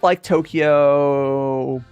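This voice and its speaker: A man's voice: a little high, steady tone, drawn-out words, a little mellow, smooth, muffled recording, faint music behind. A young man hosting a casual livestream Q&A, reading viewer questions aloud and answering with hesitant, stop-start phrasing full of restarts. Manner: hesitant, many restarts, reads chat aloud.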